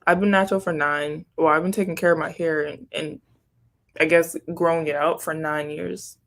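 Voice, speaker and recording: Chatty young woman, slightly low voice. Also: fairly even intonation, moderate pace, fairly bright tone. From Haiti, raised in Florida. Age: young adult. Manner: chatty, rambling, self-questioning asides. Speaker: YouTuber answering viewer questions. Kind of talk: casual chat.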